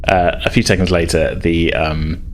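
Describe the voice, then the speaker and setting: A man's voice: low voice, flat delivery, normal speed, slight background noise. A man narrating a tabletop game as game master, improvising and searching for words.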